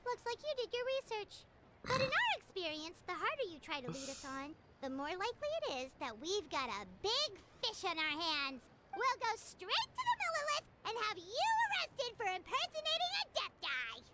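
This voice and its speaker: A woman's voice: very high-pitched, very animated, normal speed, very noisy. A woman voicing a small, cheeky, childlike fairy companion in a video game, brash and talkative. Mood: suspicious. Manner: refers to self in third person, brash. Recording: loud music behind.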